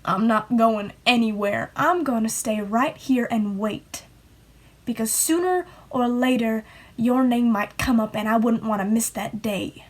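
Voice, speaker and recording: A woman's voice: a little high, a little slow. A woman playing a bitter, folksy small-town sister who nags and accuses, in an amateur play acted over video call. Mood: defiant. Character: bitter, defiant small-town woman nagging her brother. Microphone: video call microphone.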